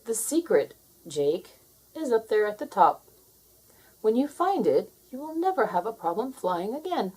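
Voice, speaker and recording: A woman plays a wise old male owl from a storybook. The voice is husky and grave, patiently guiding in a measured, instructive way. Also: on the high side, very animated, a little mellow, exaggerated voice, rough recording. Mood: solemn, instructive.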